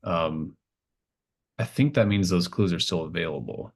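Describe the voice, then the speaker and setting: A man casually talking as a game master, agreeable and tentative, halting as the ideas get worked out. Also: low voice, husky. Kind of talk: casual collaborative game chat.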